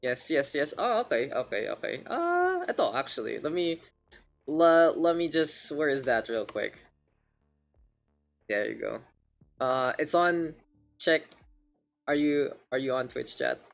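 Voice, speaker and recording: Chatty Filipino esports caster. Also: male voice, a little high, big pitch swings, unhurried, vocal fry, pauses often, faint music behind. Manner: chatty, rambling, repeats himself. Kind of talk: casual live-stream casting.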